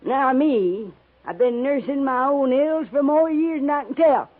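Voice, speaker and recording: A woman's voice: sits high, measured pace, narrow, tinny audio. An actress playing a wily, sweet-talking old American frontier woman with a cracked voice and a folksy drawl, in a 1950s radio drama. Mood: sly, wheedling. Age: older. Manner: folksy rustic drawl, sly.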